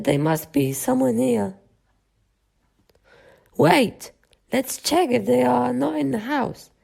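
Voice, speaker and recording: A woman's voice: fairly high pitch, vocal fry, warm tone, full character voice. A young female student playing a suspicious, scheming male crook in a school radio play. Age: child or teenager.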